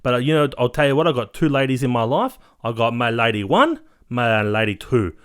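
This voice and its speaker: A man's voice: mid-range voice, expressive, a little fast, fairly bright tone, clean tone, close to an everyday voice. A man doing a brash, vain ladies' man. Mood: boastful. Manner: brash, cuts in, says "mate" nearly every line. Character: cocky vain male ladies' man, boastful. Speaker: comedic character guest.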